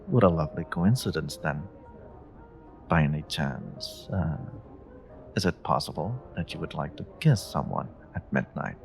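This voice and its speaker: A man's voice: rumbling low, gravelly, exaggerated voice, poor audio. A man playing a suave, flirty stranger in a romantic audio roleplay, teasing and coy as he speaks directly to the listener. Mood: romantic, pleased. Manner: teasing, coy, unhurried, murmuring endearments. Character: suave flirty male stranger, playful and confidently charming. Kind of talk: romantic audio roleplay, one-sided dialogue addressed to the listener.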